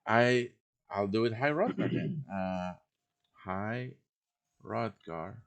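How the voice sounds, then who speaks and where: Low-voiced man teaching on a stream. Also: slow, clean tone. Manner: informal, thinks aloud while working. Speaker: live stream host teaching quest making. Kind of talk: casual step-by-step software tutorial. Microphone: computer microphone on a live stream voice chat.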